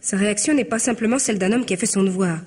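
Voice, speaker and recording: A medium-pitched, husky actress's young woman secretary from an old radio mystery. She is earnest and proper, guarded yet anxiously insistent. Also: racing, darkish tone, lightly acted. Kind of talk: 1950s French radio mystery acting.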